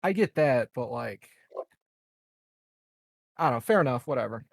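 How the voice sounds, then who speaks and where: A man's voice: mid-range voice, animated, normal speed, creaky, dry recording, clean studio sound. A man playing a tabletop game, talking casually and bluntly as he works out his moves. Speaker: tabletop RPG player.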